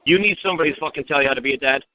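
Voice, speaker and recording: A man's voice: a little high, very fast, no room sound. A man ranting informal advice on a phone-in talk, blunt and emphatic, swearing freely and firing off mocking rhetorical questions. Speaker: talk show speaker advising a caller. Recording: narrow-band internet radio call.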